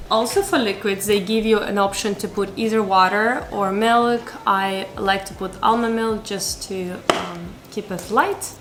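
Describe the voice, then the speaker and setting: A woman's voice: medium pitch, background noise. Chatty Russian-accented woman vlogger. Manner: chatty, upbeat, speaks to viewers as friends. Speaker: vlogger and model. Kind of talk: casual cooking vlog. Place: home kitchen.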